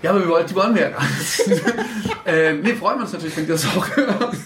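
A man's voice: on the high side, lively intonation, fast, a bit bright. A man from Stuttgart with a Swabian accent, a podcast guest chatting casually, rambling and often correcting himself. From Stuttgart, Germany. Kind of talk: casual chat. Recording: echoey room with faint noise.

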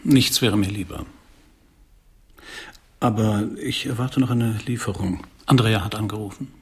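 Gravelly, coolly commanding institute director. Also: male voice, on the low side, measured pace, exaggerated voice. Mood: smooth, dismissive. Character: Adam Burden, suave, coolly commanding research institute director. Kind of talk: German radio drama acting.

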